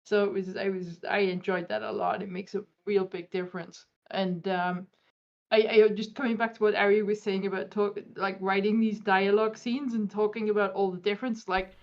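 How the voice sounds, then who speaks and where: A woman's voice: medium pitch, level tone, moderate pace. A woman who does voice acting, talking casually in a livestream discussion. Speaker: voice actor. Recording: slightly dull lo-fi microphone.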